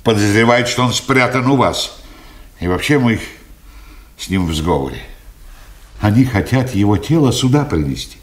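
A man's voice: fairly low pitch, animated, normal speed, pauses often, close to an everyday voice. An actor playing an agitated man bringing grim wartime news, urgent and emotive, in a Soviet radio drama. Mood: bitter, grieving.

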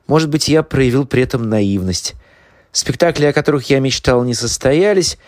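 A man reading the words of a reflective playwright, deliberately and phrase by phrase. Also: mid-range voice, expressive, darkish tone, dry recording, studio quality, lightly acted. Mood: reflective, regretful. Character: reflective male playwright looking back on his warning. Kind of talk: dramatic reading of a quotation.

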